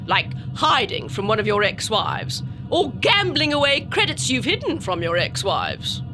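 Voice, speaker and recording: An imperious, exasperated space-station cargo officer. Her high voice leaps dramatically up and down as she scolds with withering theatricality. Music plays underneath. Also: very animated, a little slow. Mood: withering sarcasm. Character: haughty, exasperated female cargo manifest officer. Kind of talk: sci-fi audio drama acting. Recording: music behind the voice.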